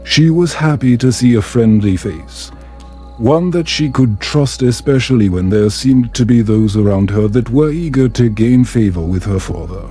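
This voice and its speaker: A man reading a scripted bedtime story in a calming, soothing, measured way. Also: medium pitch, faint music behind. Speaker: storyteller, narrator.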